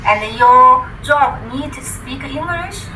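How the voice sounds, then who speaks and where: Bright, slow-paced Chinese woman learning English. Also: high voice, lively intonation, rough recording. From China. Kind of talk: casual chat. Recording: faint noise on video call.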